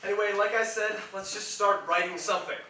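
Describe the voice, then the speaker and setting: A man performs a bossy, exasperated comic writer in a staged play reading. The voice is high and very bright, delivered emphatically. It is heard from a distance in a very echoey theatre. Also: fairly even intonation, fast talker. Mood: eager, impatient. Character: impatient, exasperated young male writer, bossy and argumentative. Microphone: distant recording of the stage. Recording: echoey theatre, distant mic, background noise.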